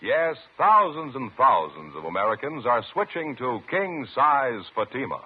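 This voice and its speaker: A man's voice: mid-range voice, sing-song, unhurried, husky. Swooping, emphatic male radio ad announcer. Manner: emphatic, polished salesmanship. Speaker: commercial announcer. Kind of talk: 1950s radio ad pitch. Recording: narrow, tinny vintage radio recording.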